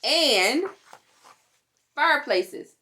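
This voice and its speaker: A woman reading a children's picture book aloud in an expressive, deliberate way, with playful exclamations. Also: high voice. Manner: deliberate, animated, with playful sound words and exclamations. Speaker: storytime reader for a fire department.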